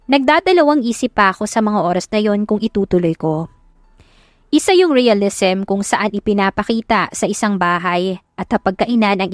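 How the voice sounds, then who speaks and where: A woman's voice: medium pitch, no room sound, clean studio sound. A woman narrator reading a scripted first-person love story in a dramatic audiobook style.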